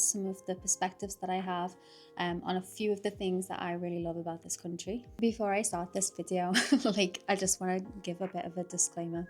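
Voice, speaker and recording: A woman's voice: on the low side, darkish tone. Chatty young Liverpool woman vlogging. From Liverpool, England. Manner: chatty, lots of "like", frequent laughs. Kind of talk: casual chat to camera.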